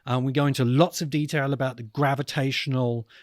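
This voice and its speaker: Man in deliberate, dry-sounding podcast commentary. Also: medium pitch, fairly even intonation, dry recording. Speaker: podcast host. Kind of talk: reflective commentary on books and film.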